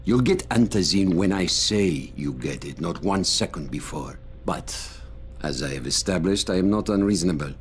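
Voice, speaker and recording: Deep-voiced, pompous crime boss. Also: male voice, expressive, slight background noise. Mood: cold authority. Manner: pompous, deliberate, measured phrasing. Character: menacing, grandiose male crime boss, coldly controlled. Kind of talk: video game cutscene acting.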